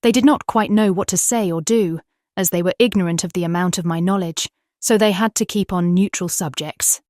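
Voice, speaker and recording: A woman with a British accent playing a tender young Victorian wife, reading her diary in an earnest, expressive, formal way for a dramatised audiobook. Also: on the low side, brisk, no echo, studio quality, lightly acted.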